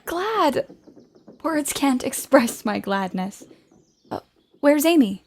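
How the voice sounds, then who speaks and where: A woman acting in an audio play as an outspoken young woman writer, earnest and expressive. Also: sits high, slow, exaggerated voice. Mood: astonished delight.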